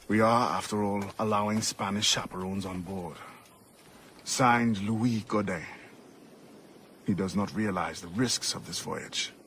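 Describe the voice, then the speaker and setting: An actor in a video game playing a bold, idealistic assassin who fights for freedom, intense and commanding. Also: male voice, on the low side, a bit bright, a little hiss or hum. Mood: measured. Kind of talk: dramatic video game acting.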